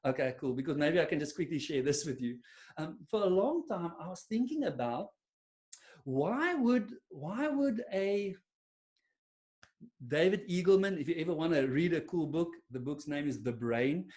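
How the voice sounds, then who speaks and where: A man's voice: on the high side, big pitch swings, normal speed, a little mellow. A man with an Afrikaans South African accent presenting a webinar on a video call, chatty and self-deprecating, with many fillers and restarts. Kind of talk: online webinar talk to a silent audience.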